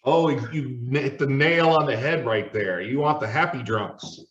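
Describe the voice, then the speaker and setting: A man's voice: medium pitch, unhurried, a bit bright. A man hosting a casual panel discussion, talking in a conversational way. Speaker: host and tabletop gamer. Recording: echoey, distant, dull microphone.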